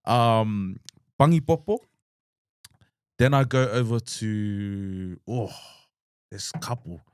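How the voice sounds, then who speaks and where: A man's voice: fairly low pitch, slow pace, smooth, dry recording, studio quality. A man co-hosting a podcast, chatting casually with playful emphasis and frequent laughter. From Australia. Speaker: podcast co-host. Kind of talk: casual chat.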